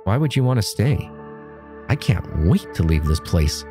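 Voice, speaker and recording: A man's voice: lowish voice, big pitch swings, unhurried, music in the background. A man playing an offhand, self-assured older brother in an audio drama. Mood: aloof. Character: Jackson, aloof older brother leaving for college.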